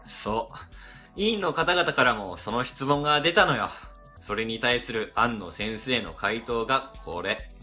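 A man playing a mild, chatty office colleague in a radio drama, explaining things in soft phrasing. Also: medium pitch, lively intonation, measured pace, faint music behind.

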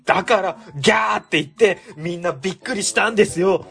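A man's voice: high-pitched, brisk, vocal fry, fairly bright tone, faint music behind. A man playing an earnest, exasperated young civil servant in a radio comedy drama, speaking politely. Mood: exasperated, pleading.

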